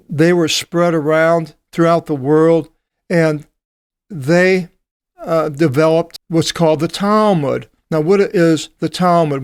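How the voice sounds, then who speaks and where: Slow, deliberate man teaching scripture. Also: on the high side, smooth, no echo, studio quality. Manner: reads passages aloud and comments on them; slow and deliberate with frequent "uh" pauses. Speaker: Bible translator teaching a study. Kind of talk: Bible study lecture.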